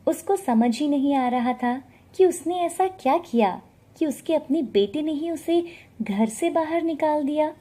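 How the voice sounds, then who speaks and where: Casting: female storyteller for scripted moral tales, higher register with a soft, relaxed tone. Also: a little slow.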